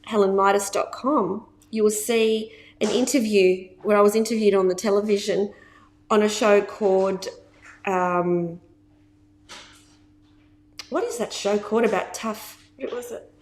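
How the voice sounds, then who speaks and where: A woman's voice: medium pitch, steady tone, a little slow, long pauses, slight background noise. A woman in her fifties, a business coach talking casually to camera, stressing points by repeating words and laughing often.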